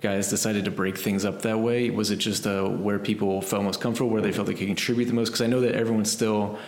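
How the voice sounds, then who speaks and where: A male interviewer fires off his questions quickly in a low, even voice, often starting over mid-sentence. The room around him rings with echo. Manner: hesitant, restarting questions. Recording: echoey room.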